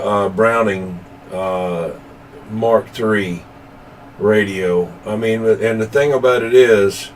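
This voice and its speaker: A man's voice: on the low side, a little mellow. A man in a rambling, folksy, casual CB radio chat as a hobbyist operator. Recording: noisy room recording, distant from mic.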